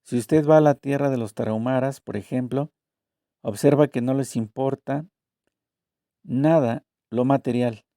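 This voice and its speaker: A man reading a translated interview aloud, voicing both the questions and the answers in a measured, deliberate way. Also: medium pitch, mellow, no echo, studio quality.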